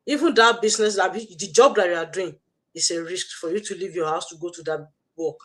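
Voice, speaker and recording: A woman's voice: lowish voice, fairly quick. A woman with a Nigerian accent hosting a motivational livestream, exhorting listeners and repeating her phrases. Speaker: host, online business mentor.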